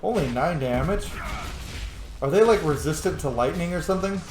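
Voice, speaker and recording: A man giving casual, informal gameplay commentary to his viewers, excitable and prone to swearing. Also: on the high side, moderate pace. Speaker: let's play host. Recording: roomy echo, faint background noise.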